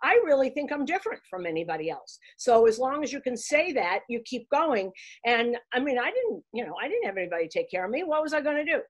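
A woman's voice: on the high side, fast talker. Older woman from Boston on a video call. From Boston, USA. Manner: animated, talks with her hands, restarts often. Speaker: guest, baseball broadcaster. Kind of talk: casual interview storytelling. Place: room at home, framed pictures on the wall.

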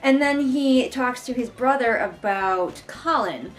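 Higher-voiced young woman, sarcastic and casual. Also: expressive, takes it slowly, low-quality recording. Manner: sarcastic, exasperated, mildly sweary. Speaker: vlogger reviewing a book. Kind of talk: casual read-along commentary. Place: living room couch, indoors.

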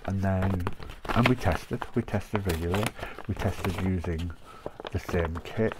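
Deep-voiced man with a chesty cough. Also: measured pace, heavy background noise. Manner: chatty, rambling, laughs often. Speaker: craft channel host. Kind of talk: casual chat while cutting paper. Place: craft desk with cutting mat, indoors. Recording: paper crinkling close by.

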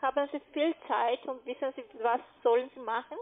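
A woman making a cold sales call over a phone line, speaking halting, non-native German phrasing. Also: high-pitched, steady tone. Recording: tinny narrowband phone line.

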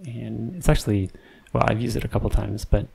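A man narrating his woodworking build in a relaxed, unhurried way, with many ums and restarts. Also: medium pitch, gravelly, slightly rough. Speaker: woodworking channel host. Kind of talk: casual voice-over narration of a build. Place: home woodshop.

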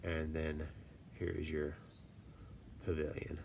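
A man with a stuffy voice from a cold, casually explaining stone setting in a relaxed, self-deprecating way at his workshop desk. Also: rumbling low, takes it slowly, vocal fry, a little hiss or hum. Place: workshop desk, filmed from above.

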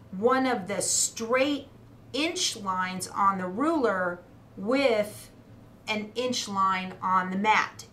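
A woman's voice: mid-range voice, a bit bright. Woman teaching a craft in an echoey room. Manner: instructional, methodical. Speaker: quilting instructor. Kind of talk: hands-on demonstration, explaining step by step. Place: indoor craft studio at a cutting table. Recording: roomy echo, clear background noise.

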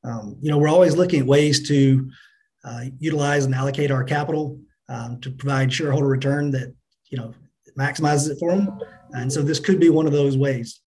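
A middle-aged man answering business interview questions as a company finance chief, in a measured, businesslike manner. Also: mid-range voice, steady tone, a little mellow, a little hiss or hum, poor audio. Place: indoors on a remote video call.

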